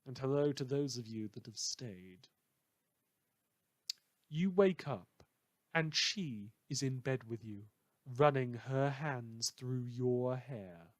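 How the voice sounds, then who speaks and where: A man playing a brooding, dramatic host of a post-apocalyptic town radio show, delivering theatrical monologues with heavy pauses. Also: medium pitch, no echo. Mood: hushed, haunted. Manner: theatrical, dramatic pauses, swinging from hushed to loud. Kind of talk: fictional community radio broadcast monologue.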